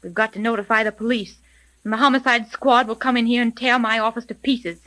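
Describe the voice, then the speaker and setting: An actress playing a composed, determined private detective boss in a 1930s radio mystery, speaking with poised, precise firmness. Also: female voice, on the high side, fairly even intonation, fairly quick, a bit bright, natural voice, low-quality recording. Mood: grave, composed.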